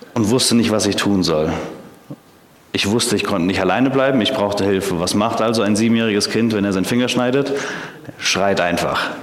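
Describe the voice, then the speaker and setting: Junger Prediger mit tiefer Stimme in halligem Raum. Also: male voice, fairly low pitch. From Canada. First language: English. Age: 20s. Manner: hesitant, frequent filler sounds. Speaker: theology student preaching. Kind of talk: sermon. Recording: echoey hall.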